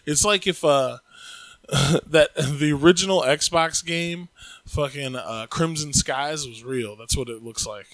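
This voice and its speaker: A man's voice: fairly high pitch, unhurried, fairly bright tone, dry recording. A man co-hosting a podcast, chatting casually in a loose, profane, joking way. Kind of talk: casual chat.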